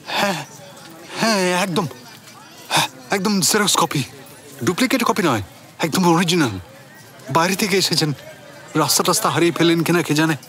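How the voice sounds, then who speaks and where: A man's voice: on the high side, moderate pace, long pauses, a bit bright, natural voice. A man playing a bewildered, suspicious brother-in-law in a radio drama, questioning incredulously with a West Bengal Bengali accent. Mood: anxious, insistent.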